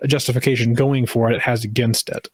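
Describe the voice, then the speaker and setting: A man's voice: medium pitch, flat delivery, fast talker, husky, dry recording. A man co-hosting a casual podcast about gun laws, rambling with many restarts and fillers. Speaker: podcast co-host. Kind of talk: casual political chat.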